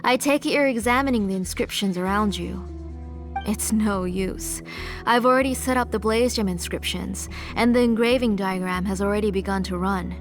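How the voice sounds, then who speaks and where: A woman voicing a grieving, obsessive mother in a video game, speaking in a solemn, deliberate, tender way. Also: medium pitch, lightly acted. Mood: cold resolve. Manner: solemn, deliberate, tender toward her child. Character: grieving, obsessive mother determined to resurrect her dead child. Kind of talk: dramatic video game voice acting. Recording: music behind. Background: game music.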